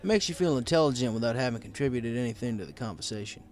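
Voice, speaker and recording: A man reads a first-person story as an audiobook narrator. His delivery is wry and conversational, with a very lively, varied intonation. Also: mid-range voice, rapid-fire.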